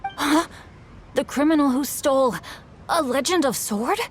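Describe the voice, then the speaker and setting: A courteous young male swordsman, voiced by a woman, formal and earnest in every word. The voice sits a little high for a young man. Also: measured pace, slight background noise. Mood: urgent.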